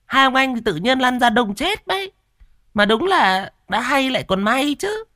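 A shrill, high-pitched scheming village woman , played by a male narrator, bellows boasts and threats with a brash, mocking edge. Her voice sits far above his own and rings loud and bright in a close, dry telling. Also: sing-song, moderate pace, no room sound, exaggerated voice. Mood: smug. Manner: fake wailing, brash and boastful.

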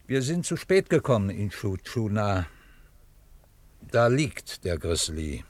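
An actor playing a wise frontier teacher in a German Western radio drama, speaking earnestly and ceremoniously. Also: male voice, lowish voice, big pitch swings, no echo, full character voice. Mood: concerned. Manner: ceremonious, measured.

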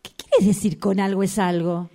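A woman's voice: mid-range voice, fairly even intonation, normal speed, dark tone, natural voice. A woman reading a gloomy, brooding tramp in an amateur radio play.

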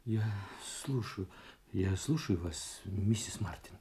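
An actor playing a calm police inspector in an audio drama, questioning in a soothing, probing way. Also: male voice, sits low, slow pace, slightly rough, exaggerated voice. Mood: attentive, inquiring. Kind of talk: Russian audio drama acting.

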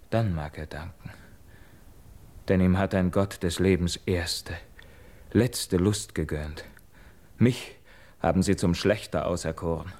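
An actor playing a young man haunted by guilt, declaiming classical verse drama in a solemn, measured way. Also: sits low, a little mellow. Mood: bitter despair.

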